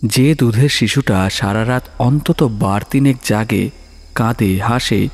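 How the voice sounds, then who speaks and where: A man narrating a horror story in a dramatic, suspenseful way, with pauses for effect. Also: mid-range voice. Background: soft music.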